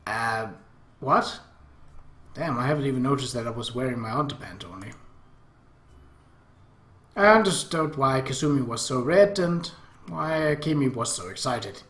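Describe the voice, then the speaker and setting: A man's voice: mid-range voice, normal speed, long pauses. A man hosting a let's play, expressively reading a visual novel aloud with his own asides. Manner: expressive, animated. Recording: roomy echo.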